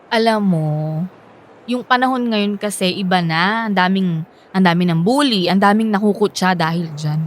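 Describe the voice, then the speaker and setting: A woman's voice: medium pitch, moderate pace, lightly acted. An actress with a Filipino accent playing a humble, self-doubting working woman in a radio drama, speaking hesitantly. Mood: self-doubting, shy. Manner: hesitant, humble, soft hums before answering. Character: self-doubting working woman who quit college to support siblings. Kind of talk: Filipino radio drama acting with reflective narration.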